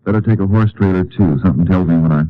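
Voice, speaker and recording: Actor as laconic American Texas Ranger, muffled old radio. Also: male voice, deep voice, fast, natural voice. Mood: dry, determined. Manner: dry, clipped, folksy and understated. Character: laconic, determined Texas Ranger investigator, narrating his case. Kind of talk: 1950s radio drama acting and narration.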